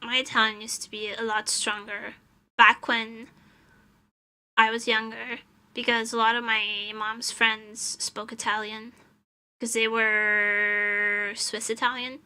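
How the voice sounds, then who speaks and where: Young woman streamer from Portugal, casual chatter, unhurried delivery, slightly high voice. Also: little pitch movement, sharp tone, clean tone. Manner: chatty, laughs often, answers chat. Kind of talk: casual live-stream chat.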